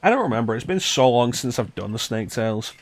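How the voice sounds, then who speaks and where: A man's voice: medium pitch, expressive, brisk, slight background noise. A man giving casual, joking live commentary as he streams a video game, with some swearing. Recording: music behind the voice. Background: video game music.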